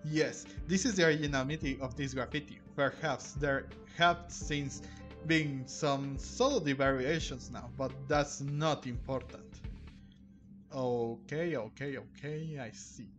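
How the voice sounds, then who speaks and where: A man streaming a video game, reading its text aloud with a halting delivery and reacting as he goes. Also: medium pitch. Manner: halting, stumbling read-aloud. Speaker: gaming streamer. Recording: game music behind the voice. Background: video game music.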